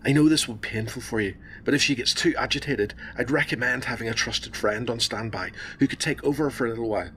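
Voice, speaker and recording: Give a calm, professional hospital doctor in a radio drama to a male actor. His voice is bright and forward at a medium pitch, with measured, reassuring delivery over a faint low rumble. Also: close to an everyday voice.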